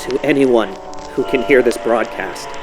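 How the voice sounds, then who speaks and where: A man's voice: medium pitch, measured pace, close to an everyday voice, rough recording. An actor playing a solemn, ominous male broadcaster introducing a horror audio drama. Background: music.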